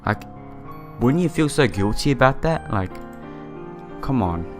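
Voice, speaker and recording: A man's voice: medium pitch, animated, moderate pace. A man doing a casual visual novel playthrough, reading the story aloud and reacting in an informal, chatty way. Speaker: let's play YouTuber. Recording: music behind the voice. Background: game music.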